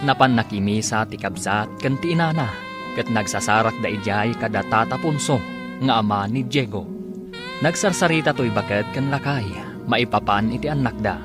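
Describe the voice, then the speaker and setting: A man narrating and announcing a radio drama in a formal, dramatic, scripted style. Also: medium pitch. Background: music.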